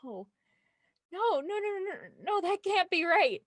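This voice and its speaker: A woman's voice: very high voice, sing-song, a little slow, no room sound, full character voice. An actress playing a frightened but defiant young woman in an audio thriller, tense, emotional and challenging. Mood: frightened, pleading. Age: young adult.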